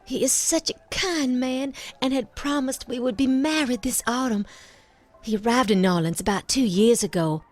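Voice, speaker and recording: A woman's voice: high-pitched, normal speed. An actress playing an anxious, sincere young woman in love in a video game, speaking in an earnest, plaintive way. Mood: wistful, confiding. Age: young adult. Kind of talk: video game cutscene acting.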